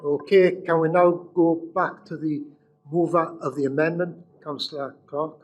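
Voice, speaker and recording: Man, meeting chair, brisk procedural tone, slightly raised pitch. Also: steady tone. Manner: brisk, informal procedural directions. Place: council chamber.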